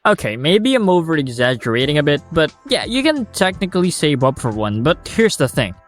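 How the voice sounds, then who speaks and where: A Filipino-accented man talks through a scripted video essay, and his voice sits high and swings widely in pitch as he makes his points. His delivery is blunt and sarcastic, and now and then he throws in a swear. Faint music plays quietly under his voice. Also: sing-song, a little fast. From Philippines. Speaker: YouTuber.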